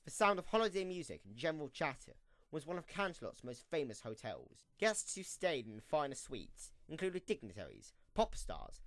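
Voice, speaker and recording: A man's voice: a little high, a bit bright, no room sound. A man narrating a scripted story for an amateur radio play, reading in a storybook style.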